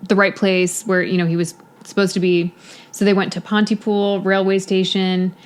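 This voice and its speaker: A woman's voice: medium pitch, smooth. A woman co-hosting a podcast, telling a case in casual chat with a lot of "you know" fillers. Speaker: podcast co-host.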